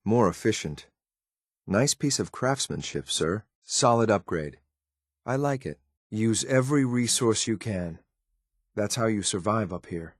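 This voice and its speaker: A low, closely recorded voice of a hard-edged military companion from a video game. He is a dry, sardonic soldier with clipped phrasing, dutiful to his commander yet openly disdainful. The sound is dry, with no room around it. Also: lively intonation, a little slow, no room sound. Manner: clipped, dry sarcasm, addresses superior respectfully.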